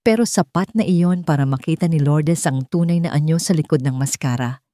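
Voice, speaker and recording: A woman's voice: deep voice, normal speed, mellow, no room sound, studio quality. A woman with a Filipino accent narrating a scripted dramatic story.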